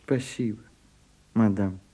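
A man's voice: lowish voice, slow, warm tone, slightly rough. An actor in a radio play, playing a reluctant, deferential male secretary who protests meekly and fussily. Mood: dutiful. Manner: fussy, hesitant, meekly protesting. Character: reluctant, put-upon, deferential male secretary to a grand madame. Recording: reverberant radio drama recording.

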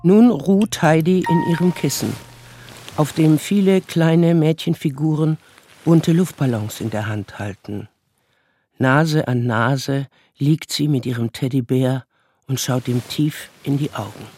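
A man's voice: on the high side, measured pace, darkish tone, close to an everyday voice. A man narrating a German radio play in a storytelling style.

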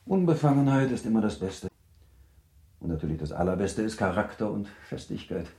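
Middle-aged baron, mellow low voice, worldly, measured, paternal, roomy echo, period radio drama. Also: male voice, big pitch swings. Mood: measured, cautioning. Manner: measured, advising, slightly paternal. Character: composed, worldly older husband, a 19th-century baron. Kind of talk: German literary radio play acting.

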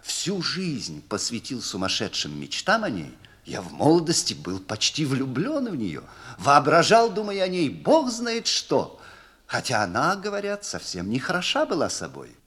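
An actor playing a gentleman traveller in an audiobook, jocular and musing, a slightly embarrassed romantic. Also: medium pitch, wildly swooping pitch, moderate pace, slightly rough, heavily acted. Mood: playful, wistful. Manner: jocular, musing, hesitant phrasing.

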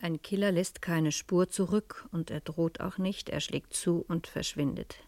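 An actress playing an experienced, inquisitive professor and amateur sleuth, pensive and breathy, in a German radio crime drama. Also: female voice, on the low side, steady tone, measured pace, mellow, no echo. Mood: probing.

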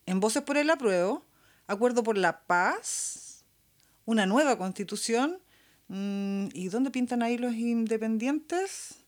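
A woman's voice: fairly high pitch, animated, slow pace. A woman with a Chilean accent, hosting a radio show in a casual, colloquial style. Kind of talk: casual chat and show presenting.

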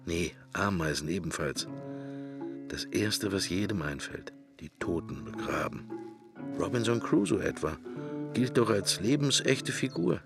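An actor playing a wry, brooding middle-aged man murmuring a wandering inner monologue in a radio drama, gravelly and husky. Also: sits low, expressive, measured pace, music in the background, heavily acted. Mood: wry musing. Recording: roomless, very dry studio sound.

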